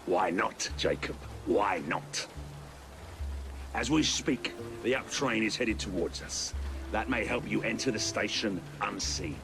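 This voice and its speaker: Flamboyant, slow-savouring crime lord. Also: male voice, fairly low pitch, gravelly, music in the background. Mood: sly. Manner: flamboyant, grandiose, savouring words. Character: theatrical, charming male Victorian crime lord, playfully menacing. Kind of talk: dramatic video game acting.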